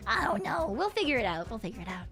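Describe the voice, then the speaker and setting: A woman's voice: fairly high pitch, big pitch swings, fast, fairly bright tone, soft background music. Chatty streamer woman, slightly throaty voice. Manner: rambling, chatty, swears casually, laughs often. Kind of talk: casual chat stream.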